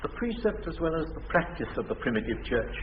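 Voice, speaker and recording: Scholarly English country parson, mild, pompous, courteous, dull noisy period radio comedy. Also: male voice, fairly high pitch, very animated, a little fast. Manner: sententious, courteous.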